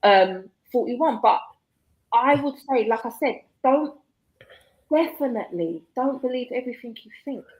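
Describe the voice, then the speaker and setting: A woman, a guest author talking casually in an interview, chatty and quick to laugh. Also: on the high side, expressive, a little mellow, poor audio. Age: 40s.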